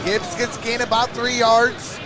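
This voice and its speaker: A man with a very high voice gives live play-by-play as a stream host. His calls are terse and clipped, moving between formations, plays and stats. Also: flat delivery, unhurried, music in the background, a crowd in the background, low-quality recording. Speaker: livestream host doing play-by-play fan reaction. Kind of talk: live sports commentary, reading stats and chat.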